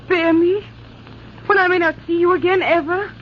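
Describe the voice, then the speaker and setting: A woman's voice: very high voice, fairly even intonation, moderate pace. An actress playing a devoted, desperate young woman, imploring and melodramatic in 1940s radio style. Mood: pleading, desperate. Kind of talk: 1940s radio drama acting. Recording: muffled, noisy old radio broadcast.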